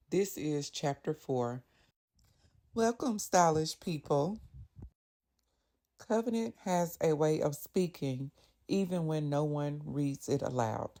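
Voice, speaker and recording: Slow, low-voiced woman storyteller. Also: dark tone. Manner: slow, measured, reverent.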